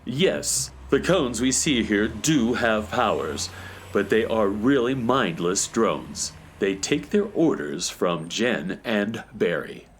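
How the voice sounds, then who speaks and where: A man's voice: on the low side, expressive, slow pace, slight background noise. An actor playing a lofty, all-knowing cosmic handyman in a children's radio drama, speaking formally and pompously. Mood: knowing, grave.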